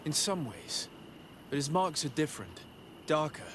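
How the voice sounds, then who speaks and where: A courteous young noble envoy in a video game. He is composed and measured, with a slightly high voice and faint noise behind it. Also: sing-song, a bit bright. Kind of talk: video game dialogue acting.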